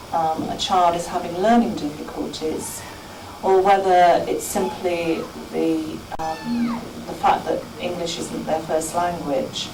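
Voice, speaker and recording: Woman, lower-pitched, educator, hesitant measured interview speech, echoey room. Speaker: educator working with schoolchildren. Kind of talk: unscripted interview explanation. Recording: roomy, distant microphone, background noise.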